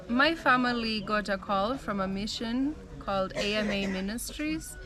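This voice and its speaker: A young American woman telling her life story in a relaxed, chatty way, with frequent "um" and "like". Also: mid-range voice. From United States. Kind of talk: casual storytelling.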